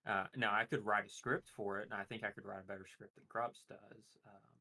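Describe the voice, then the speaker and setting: A man chatting casually, explaining his points at length in a monotone voice. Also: lowish voice, fairly quick. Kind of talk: casual chat.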